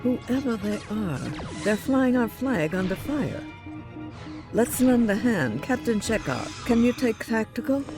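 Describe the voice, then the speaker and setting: A woman's voice: on the high side, animated, unhurried, music in the background. A woman playing a decisive, composed starship captain giving orders in an audio drama. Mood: commanding. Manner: commanding, crisp orders. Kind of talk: science fiction audio drama acting.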